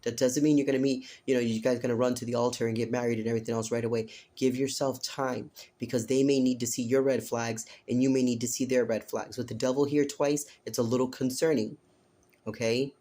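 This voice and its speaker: A man reads tarot cards aloud to an unseen listener, earnest and repetitive, often tagging his points with "okay?". His pitch stays narrow and even, and his voice has a hoarse edge. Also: a little fast. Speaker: tarot reader. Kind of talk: casual spoken tarot reading.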